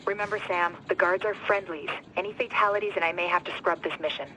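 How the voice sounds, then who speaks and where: A woman playing a cool, businesslike mission handler in a video game, giving crisp instructions. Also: lowish voice, fairly even intonation, quick pace, pushed and bright, a little hiss or hum, rough recording. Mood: cautioning. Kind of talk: cinematic video game acting.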